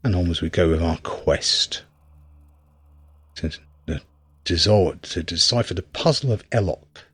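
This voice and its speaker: Man, deep rough-edged voice, casual gameplay commentary, hesitant thinking-aloud delivery. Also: measured pace, long pauses, fairly bright tone, no echo. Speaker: video game reviewer.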